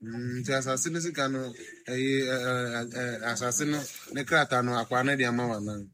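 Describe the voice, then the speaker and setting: A man from Ghana hosting a radio interview and encouraging his guest with quiet murmurs. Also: mid-range voice, brisk, a little hiss or hum. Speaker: radio host.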